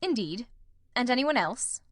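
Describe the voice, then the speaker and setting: A woman voicing a shrewd, composed gentleman detective in a storybook, formal, measured and authoritative. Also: a little high, big pitch swings, no echo, clean studio sound, voice pushed up. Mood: probing.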